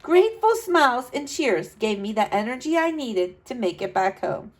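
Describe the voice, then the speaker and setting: A woman's voice: fairly high pitch, sing-song, normal speed. A woman reading a storybook as an earnest, adventurous young boy who tells his own tale dramatically. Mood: determined.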